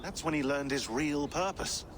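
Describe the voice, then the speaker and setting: An actor playing a wry, talkative modern expert in a game cutscene, explaining things conversationally. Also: male voice, a little high, normal speed, close to an everyday voice. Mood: intent, explaining. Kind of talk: naturalistic game cutscene acting. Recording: clear ambient noise behind.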